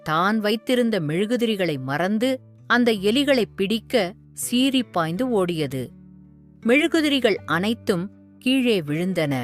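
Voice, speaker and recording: Slow, measured woman storyteller. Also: medium pitch, lively intonation, faint music behind. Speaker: narrator. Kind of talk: storybook narration for children.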